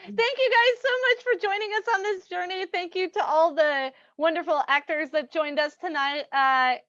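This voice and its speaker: A woman host with a very high voice chats casually to a livestream audience. The sound is close and dry but a bit dull and lo-fi. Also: moderate pace, fairly bright tone, no room sound. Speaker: host of the reading. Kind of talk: casual livestream thanks and sign-off.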